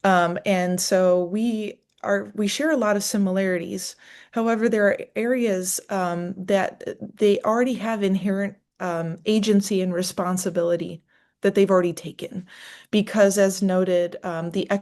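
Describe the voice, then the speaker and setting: A woman presenter answering thoughtfully on a video call, pausing often with "um". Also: mid-range voice, steady tone, a little mellow. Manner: thoughtful, with many "um" pauses. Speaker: panel presenter. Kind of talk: answering a question in a webinar.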